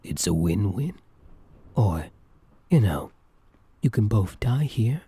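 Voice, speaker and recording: A man playing an obsessive, menacing yandere supervillain in an intimate, theatrical ASMR roleplay, with a breathy, deliberate delivery. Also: fairly low pitch, big pitch swings, warm tone, dry recording, full character voice. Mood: coaxing, menacing.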